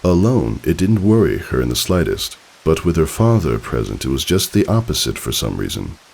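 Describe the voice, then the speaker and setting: Very deep, gravelly male storyteller. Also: normal speed. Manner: expressive, theatrical. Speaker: fan fiction narrator. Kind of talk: dramatic scripted story narration.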